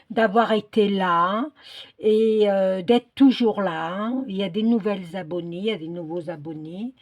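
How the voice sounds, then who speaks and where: Hesitant, slow-paced older woman chatting. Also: medium pitch, a little mellow. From Portuguese descent. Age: middle-aged or older. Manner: hesitant, searching for words. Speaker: craft video creator. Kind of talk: casual chatty craft vlog.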